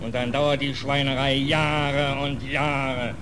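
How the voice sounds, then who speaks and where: A man acting an embittered, homeless seaman in a vintage radio play. He talks in plain, colloquial speech, brooding and indignant, in a mid-pitched voice. The old recording is clearly noisy. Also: level tone, slow, close to an everyday voice. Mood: angry, bitter. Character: embittered, hungry stateless sailor on a death ship.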